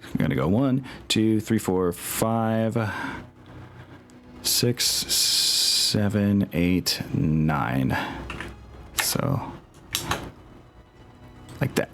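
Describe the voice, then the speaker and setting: A man's voice: on the low side, animated, extremely slow, long pauses, a bit bright. A man with an easygoing, colloquial way of talking, chatting casually as the game master of a tabletop game.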